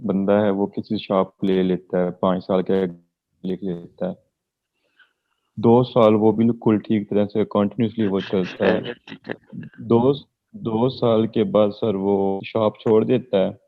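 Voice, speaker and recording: A man's voice: fairly low pitch, little pitch movement, slow, muffled recording. A male student laying out a worked example step by step for his teacher. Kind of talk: classroom discussion.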